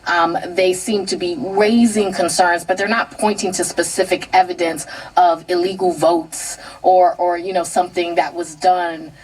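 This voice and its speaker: An American woman reporter giving live unscripted news analysis in a halting way, repeating words as she goes. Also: lowish voice, steady tone. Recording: distant car radio picked up by camera.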